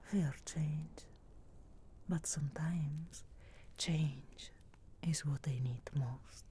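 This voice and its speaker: A woman voicing a sarcastic, guardedly caring witch of the wilds in a slow, breathy whisper for an ASMR fantasy roleplay. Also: sits low, steady tone, mellow, slight background noise. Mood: solemn, caring. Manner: slow, measured, archly formal phrasing.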